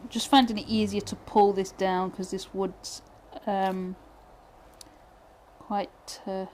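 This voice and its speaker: A woman from Britain, teaching pyrography live, chatting and thinking aloud with many fillers while she works, her voice going a little hoarse. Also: medium pitch, little pitch movement, slow, darkish tone, slight background noise. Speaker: pyrography instructor. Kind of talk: live tutorial chat while working.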